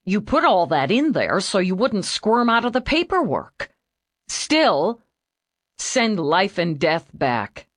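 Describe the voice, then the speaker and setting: A woman's voice: mid-range voice, sing-song, slow, no echo, studio quality. Woman voicing an earnest female detective. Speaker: police detective.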